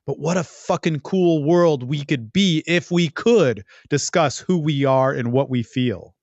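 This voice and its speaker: A man's voice: on the high side, unhurried, a little mellow, dry recording, lo-fi sound. A man hosting a podcast as a coach, giving casual, unscripted advice in a blunt, profane, emphatic and rambling way. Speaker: podcast host and dating coach.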